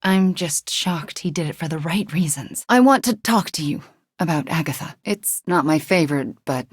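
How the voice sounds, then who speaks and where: A young sorceress from a video game, with a fairly low female voice in a dry, close studio sound. She is guilt-ridden yet wry and guarded. Her delivery is earnest and often hushed. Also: lively intonation, moderate pace, breathy edge, no echo. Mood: sincere, determined. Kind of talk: acted video game dialogue.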